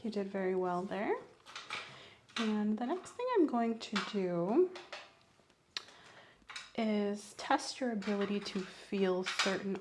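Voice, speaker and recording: A woman speaks softly with a creaky, fry-edged voice at a medium pitch. She plays a doctor in an ASMR exam, gently instructing and encouraging. There is clear noise behind her voice. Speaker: host playing a medical examiner. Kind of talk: ASMR medical exam roleplay.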